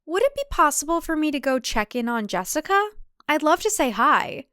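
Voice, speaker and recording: A woman's voice: sits high, very animated, moderate pace, a bit bright, no room sound, voice pushed up. A woman voicing a wry, uneasy city woman in audio fiction, dry and politely skeptical.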